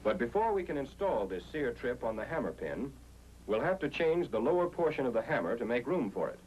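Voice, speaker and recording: A man's voice: mid-range voice, big pitch swings, brisk, darkish tone, slight background noise, poor audio. An American man reading a scripted 1940s instructional film narration in an emphatic, explanatory way, on an old film soundtrack.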